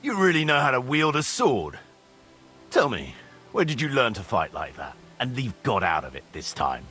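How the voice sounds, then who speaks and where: A man playing a blunt, mocking, foul-mouthed medieval mercenary commander in a video game, with a husky, forceful voice over music. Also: medium pitch, wildly swooping pitch, moderate pace. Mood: sardonic, warming. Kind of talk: video game cutscene acting.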